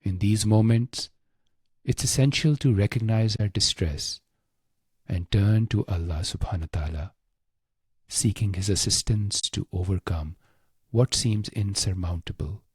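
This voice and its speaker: Slow, low, husky male meditation guide. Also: a little mellow, no room sound.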